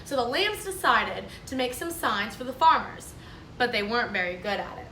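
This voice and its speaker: A woman, a kindergarten teacher, reading a storybook aloud to children in a clear, explaining, sing-song manner. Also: on the high side, big pitch swings, moderate pace, bright, forceful tone, slight background noise. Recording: echoey room, mic at a distance.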